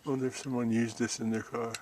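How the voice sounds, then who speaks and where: A man's voice: medium pitch, flat delivery, fast, a little mellow, a little hiss or hum. A middle-aged or older American man giving a casual, folksy hands-on show-and-tell, musing as he goes. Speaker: DIY video host.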